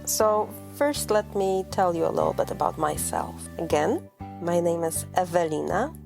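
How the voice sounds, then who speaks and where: A woman's voice: fairly low pitch, animated, normal speed, vocal fry, music in the background. A woman in her thirties from Poland with a Polish accent, hosting her own podcast as a life coach in an earnest, personal way with rolled R's. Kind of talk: scripted podcast monologue.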